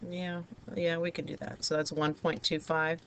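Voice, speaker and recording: A woman teaching a math lesson with a raspy, hoarse voice, talking students through each step of the problems. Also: deep voice, little pitch movement, moderate pace, fairly bright tone, slight background noise. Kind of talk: recorded math lesson over a digital whiteboard.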